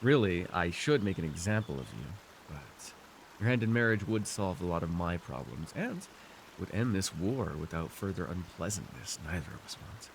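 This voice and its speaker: Man acting a low, smug, seductive fantasy villain. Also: very animated, moderate pace, creaky, slight background noise, exaggerated voice. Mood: smug, coaxing. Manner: smug, teasing, theatrical, speaking intimately to one listener. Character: arrogant, seductive male fantasy villain warlord, dream-wielding conqueror. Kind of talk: immersive ASMR fantasy roleplay acting.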